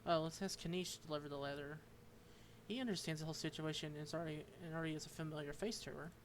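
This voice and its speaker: A man streaming a game, reading its dialogue aloud and commenting, halting and stumbling over unfamiliar names. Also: on the high side, fairly even intonation, a little hiss or hum.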